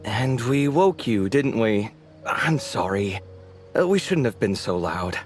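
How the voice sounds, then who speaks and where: A man's voice: lowish voice, animated, takes it slowly, faint music behind. A man voicing a friendly, sarcastic young male architect in a game, chatty and teasing. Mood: warm. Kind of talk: game voice acting.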